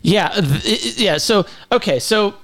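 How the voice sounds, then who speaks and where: A man co-hosting a casual podcast, talking in a halting, stammering way and often repeating his words. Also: high voice, expressive, vocal fry, fairly bright tone. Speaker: podcast co-host. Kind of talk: casual chat.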